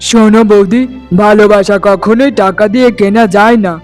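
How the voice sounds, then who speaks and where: A man plays a young village lover in a cartoon melodrama. The voice is high for a man, earnest and declamatory, with a defiant streak. Faint music sits behind it. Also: steady tone, a little fast, smooth, lightly acted.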